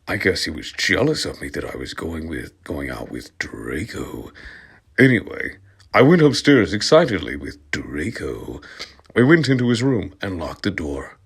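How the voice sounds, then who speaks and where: A man reads a story aloud with a slow, unhurried pace and a very animated pitch. He is theatrical and sardonic, treating the text as comedy and moving from murmured asides to loud outbursts. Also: vocal fry, breathy edge. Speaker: reader of comic fan fiction. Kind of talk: dramatic comic read-aloud with sardonic asides.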